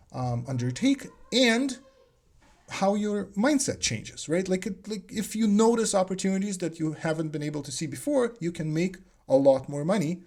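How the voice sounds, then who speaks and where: A man's voice: fairly high pitch, darkish tone. A man, talking alone about the business mindset in a reflective way and often repeating words as he searches for phrasing. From Soviet Union (Leningrad). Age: 40s. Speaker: business coach. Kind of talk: solo talk on business mindset.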